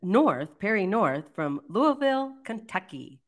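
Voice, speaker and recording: An older woman with a low voice, casually co-hosting a live chat show, chatty and laughing easily. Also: big pitch swings, a little slow. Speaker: livestream co-host.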